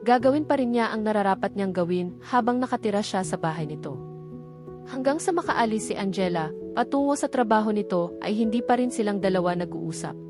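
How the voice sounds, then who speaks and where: A woman with a Filipino accent reading a scripted romance novel as a story narrator. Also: mid-range voice. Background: background music.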